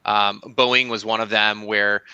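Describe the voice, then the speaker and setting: Male co-host, very bright and sharp tone, casual "like"-heavy talker, dry sound. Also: fairly low pitch, flat delivery, unhurried, smooth, no room sound, dull audio. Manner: casual, lots of likes. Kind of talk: casual interview chat.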